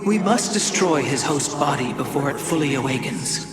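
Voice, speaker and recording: An actor playing a noble, resolute alien warrior leader in a video game, speaking with formal, commanding solemnity. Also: male voice, a little high, lively intonation, moderate pace, lightly acted. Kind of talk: video game cutscene acting.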